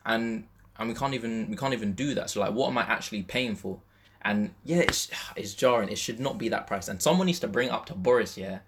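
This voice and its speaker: A man's voice: lowish voice, brisk, background noise. A young man speaks. His talk is casual and slangy, and he thinks aloud, circling back to restate his point. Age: young adult. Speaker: podcast co-host, university student. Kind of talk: casual chat.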